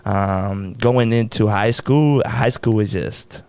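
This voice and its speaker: A man's voice: on the low side, takes it slowly, darkish tone, clear, resonant tone, no echo. A young American man co-hosting a podcast, talking casually in a laid-back, slangy way with lots of fillers. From United States. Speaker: podcast co-host.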